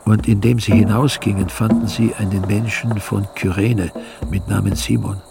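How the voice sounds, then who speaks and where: An actor playing a solemn evangelist, narrating scripture in a measured, grave way for a radio drama. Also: male voice, fairly low pitch, steady tone, creaky, background noise. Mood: grave narration.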